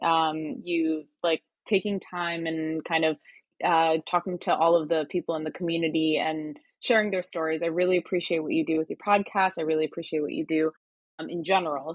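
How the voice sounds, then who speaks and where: Guest: young woman, American accent, lowish voice, rambling casual delivery, dry close sound. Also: no echo. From Turkey. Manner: chatty, many fillers and restarts. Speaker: podcast guest, hardware startup advisor. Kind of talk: casual interview conversation.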